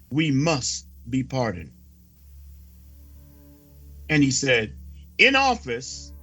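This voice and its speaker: A man reading prophecy as a sermon, solemn and declamatory, with dramatic pauses. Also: fairly high pitch, sharp tone, husky. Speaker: preacher reading a prophecy report.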